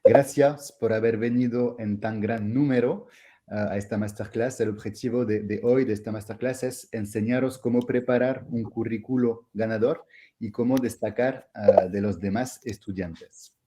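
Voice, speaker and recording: A man's voice: on the low side, fairly even intonation, normal speed, warm tone, slight background noise. A man from France with a French accent, hosting an online masterclass in a hesitant way, with many fillers and restarts. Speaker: host.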